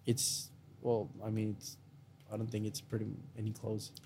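A man's voice: on the low side, completely flat delivery, moderate pace, mellow, a little hiss or hum. A young man from India with an American-sounding accent, co-hosting a casual podcast chat and swearing freely. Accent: American-sounding, picked up in India. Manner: swears freely, laughs often. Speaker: podcast co-host.

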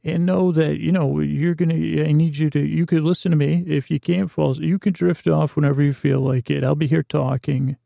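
A man hosting a bedtime podcast in a rambling, soothing monologue, halting and meandering as he repeats himself and trails off, with a gravelly, creaky voice. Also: fairly high pitch, flat delivery, mellow, no room sound, clean studio sound. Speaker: podcast host.

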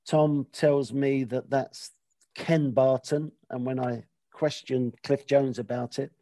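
A man's voice: mid-range voice, steady tone, slow pace, warm tone, no echo. A man hosting a podcast in a casual, rambling way, with many hesitations and restarts. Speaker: podcast host. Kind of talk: casual chat.

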